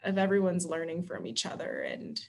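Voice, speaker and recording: A woman's voice: lowish voice, wildly swooping pitch, moderate pace, creaky. A woman on admissions staff giving earnest advice as a podcast guest, often restarting words, over remote call audio. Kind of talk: casual podcast conversation.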